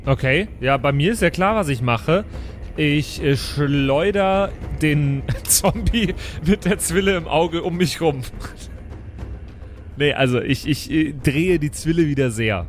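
A man's voice: a little high, unhurried, soft background music. A man chatting casually as a player in a tabletop role-playing game, describing his moves.

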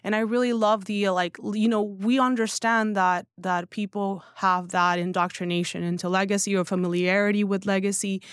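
A woman with a clean, smooth voice speaks as a guest in a casual interview, recorded dry in a studio. She is opinionated and emphatic, leaning on "like" and "you know" as she makes her points. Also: medium pitch, fairly even intonation, a little fast, few pauses, no echo. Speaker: guest, newsletter writer and founder.

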